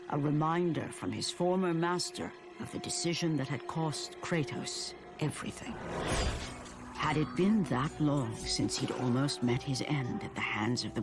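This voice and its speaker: A man's voice: fairly high pitch, close to an everyday voice. A man acting as a solemn, portentous narrator of an ancient Greek tragedy in a video game. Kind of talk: dramatic scripted narration of a mythic tale. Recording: orchestral music behind.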